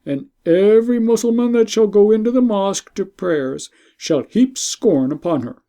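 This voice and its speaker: A man's voice: sits high, animated, moderate pace, dark tone, pitched above the performer's own voice. A man voicing a stern, angry male emperor who hands down a harsh sentence in a storybook reading. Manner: commanding, imperious.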